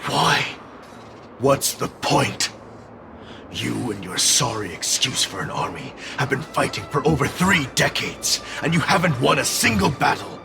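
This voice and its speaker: An actor playing a sinister, mocking spirit companion in a video game, gravelly, theatrical and menacing, with a taste for blood. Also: male voice, medium pitch, animated, a little slow, music in the background. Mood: bitter scorn. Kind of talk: dramatic video game voice acting.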